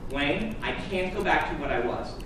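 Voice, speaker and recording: Storybook gentleman hero, played by a reader: male, dutiful, guarded, serious. Far-off, reverberant sound. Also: fairly high pitch, animated, moderate pace, lightly acted. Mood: serious, defensive. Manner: serious, restrained. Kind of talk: live fiction reading. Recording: echoey room, far mic, noisy.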